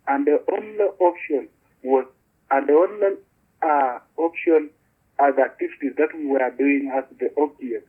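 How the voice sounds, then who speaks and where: A man with a Ugandan accent, earnest, restarting and repeating words as he explains things in an interview. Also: mid-range voice. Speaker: community beekeeper guest. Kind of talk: interview over a call. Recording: husky tinny phone-line audio.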